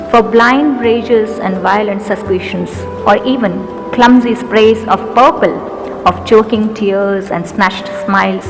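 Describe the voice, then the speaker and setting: Earnest Indian woman reciting poetry. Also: medium pitch. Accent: Indian English. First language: Urdu. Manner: measured, earnest, slows down for verse lines. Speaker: poet and English literature teacher. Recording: long reverb with background music.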